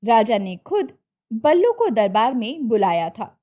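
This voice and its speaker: High-pitched, expressive woman storyteller. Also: a little slow. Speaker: narrator. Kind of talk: scripted narration of a Hindi moral story.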